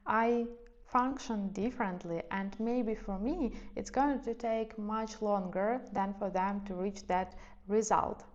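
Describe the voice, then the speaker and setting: A young woman who is not a native English speaker talks gently to camera, sharing advice in a soft, reflective way and hedging as she goes. Her voice sits at an ordinary middle pitch. The audio is a little dull and muffled. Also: takes it slowly. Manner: soft, reflective, hedging with "let's say" and "I don't know". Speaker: lifestyle vlogger. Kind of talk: casual advice talk to camera.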